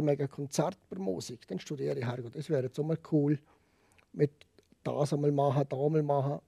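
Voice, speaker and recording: A man with a Liechtenstein accent telling his personal story candidly as a podcast guest, emphatic and repeating phrases, in a gravelly, husky voice. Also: fairly high pitch, dark tone, dry recording, studio quality.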